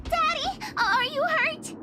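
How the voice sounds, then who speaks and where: A woman's voice: very high voice, slow, loud music behind the voice. A woman voicing a feisty, protective little girl in a video game, brash and childish. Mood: concerned, boastful.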